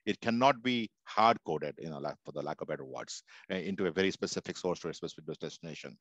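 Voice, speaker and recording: A man's voice: deep voice, quick pace, fairly bright tone, no echo. A man in his thirties on a headset mic, emphatic, stumbling over repeated words as he starts. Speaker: technology leader panelist. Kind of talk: conference panel talk on video call. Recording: dull, dry headset audio.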